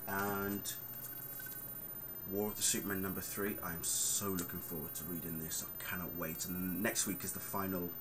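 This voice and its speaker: A man in his thirties rambles through a casual vlog, with many ums and asides. His voice sits very low. Steady background noise runs under the recording. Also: moderate pace. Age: 30s. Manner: chatty and rambling, with many fillers. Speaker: vlogger.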